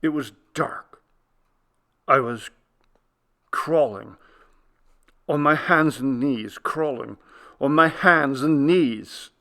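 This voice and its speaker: A man voicing a frightened, anguished man in a ghost story audiobook, speaking slowly and haltingly. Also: gravelly, bright, forceful tone, exaggerated voice. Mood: haunted dread. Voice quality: breaking, low, trembling voice. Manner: halting, slow, haunted. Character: anguished, frightened man confessing a dreadful secret.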